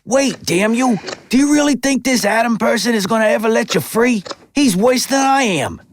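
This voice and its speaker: A small cursed wooden doll, pleads and bullies in a very high, frantic voice. It is a horror audio drama with faint noise behind it. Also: male voice, expressive, normal speed. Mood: desperate warning.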